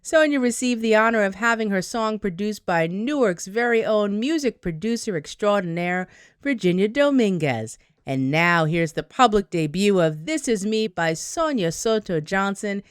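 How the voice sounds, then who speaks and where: A woman's voice: mid-range voice, expressive, normal speed, clear, resonant tone, no echo, studio quality, natural voice. A woman playing an upbeat local radio host, with polished, scripted DJ announcing in an audio drama.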